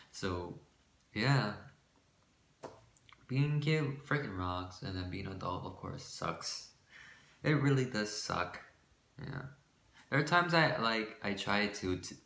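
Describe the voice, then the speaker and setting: A shy young man rambling casually about his light voice, with many fillers, sometimes pushing it deeper. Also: pushed and bright. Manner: shy, rambling, full of fillers and self-corrections; shifts between a light voice and a forced deeper one. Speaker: vlogger. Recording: echoey room.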